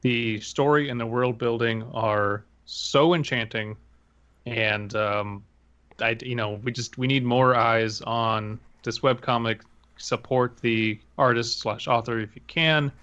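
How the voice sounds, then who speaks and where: Casual male podcast host, middle pitch. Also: steady tone, slow pace, a bit bright, smooth, muffled recording. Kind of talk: casual recommendation chat.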